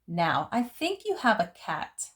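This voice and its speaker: Woman as a patient, lively-toned schoolteacher. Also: medium pitch, takes it slowly, full character voice. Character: patient, encouraging elementary school teacher in a picture book.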